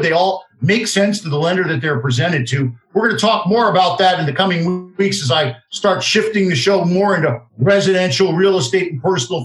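A man's voice: high voice, fairly bright tone. A man in his fifties hosting a talk radio show in a direct, self-assured, salesy way. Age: 50s. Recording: roomy, echoey sound.

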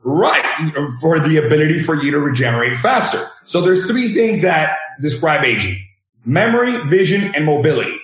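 A man's voice: fairly high pitch, big pitch swings, normal speed, a bit bright. Earnest thirties man from Venezuela. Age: 30s. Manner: explanatory, earnest, leans on examples. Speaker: guest health practitioner. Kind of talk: interview answers. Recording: roomy echo.

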